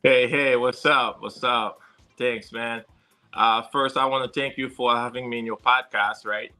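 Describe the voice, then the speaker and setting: A man's voice: medium pitch, steady tone, unhurried, bright, forceful tone, faint music behind. A man from Haiti with a heavy Haitian accent, a security consultant talking in a casual interview, hesitant, with many fillers and restarts.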